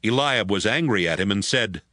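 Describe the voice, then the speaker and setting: A man's voice: fairly low pitch, lively intonation, moderate pace, bright, forceful tone, dry recording, clean studio sound. A man narrating a dramatized audiobook as a scripted storyteller. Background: music.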